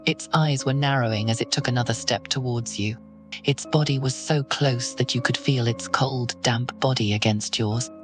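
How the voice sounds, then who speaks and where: A man narrates a horror scene in the second person, steady and ominous. His voice sits at a middle pitch, with soft music underneath. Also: moderate pace.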